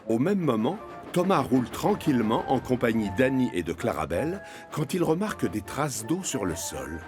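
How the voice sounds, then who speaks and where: A man narrating a scripted children's cartoon story as the storyteller. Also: medium pitch.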